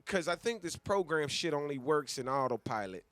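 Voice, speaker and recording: A man's voice: a little high, lively intonation, normal speed, a bit bright, dry recording. A man co-hosting a radio show in casual, speculative chat, talking in a rambling, profane and slangy way.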